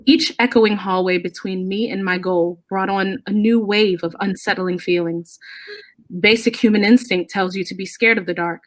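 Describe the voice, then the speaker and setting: A woman reads a scripted ghost story with dramatic delivery. Her medium-pitched voice is captured dry and close, with no real echo. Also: steady tone, moderate pace.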